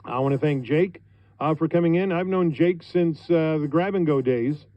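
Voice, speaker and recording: A middle-aged man with an American accent, a radio host talking on air in an informal, conversational, halting way. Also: fairly high pitch, lo-fi sound. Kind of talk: informal on-air thank-you speech. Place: radio studio.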